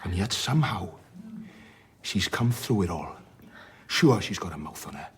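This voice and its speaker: An actor playing a sardonic, blunt, worldly doctor in a radio drama, wry and plain-spoken with a husky voice. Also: male voice, low-pitched, expressive, a little slow, slight background noise. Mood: grave, heartfelt. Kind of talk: radio drama acting with narration.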